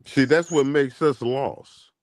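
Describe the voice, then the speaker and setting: A man's voice: medium pitch, no room sound. A man hosting a morning talk show, chatting casually in a preachy, emphatic way. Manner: preachy, drawn-out emphatic delivery.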